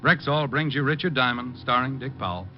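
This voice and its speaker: A man's voice: mid-range voice, animated, brisk. A man introducing a program in scripted vintage radio announcing style. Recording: dull old radio recording. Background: prominent music.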